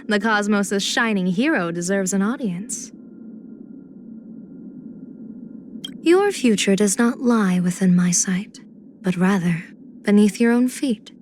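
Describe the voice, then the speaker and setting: A woman's voice: mid-range voice, expressive, unhurried, darkish tone, slight background noise, natural voice. A woman voicing a playful, confident general and diviner in a video game, with poised, theatrical, teasing phrasing. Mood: sly, assured. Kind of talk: video game character voice lines.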